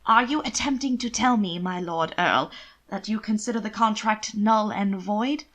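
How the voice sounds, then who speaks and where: A woman's voice: mid-range voice, moderate pace, fairly bright tone, lightly acted. A woman voicing a duke's male envoy in a period audiobook, proud yet careful, patient and politely insistent. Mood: gentle insistence.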